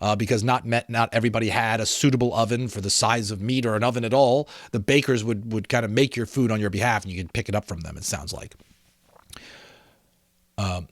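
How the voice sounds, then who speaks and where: A man narrating a storybook dramatically, with an expressive, theatrical manner. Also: lowish voice, fairly quick, no echo, studio quality. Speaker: amateur audiobook reader.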